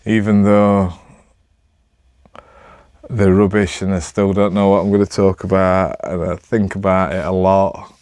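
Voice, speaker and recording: A man's voice: low-pitched, level tone, unhurried, pauses often, a little mellow, smooth, no room sound. A man with a Northern English accent chatting casually to camera, rambling in a hesitant, self-deprecating way. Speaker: amateur vlogger.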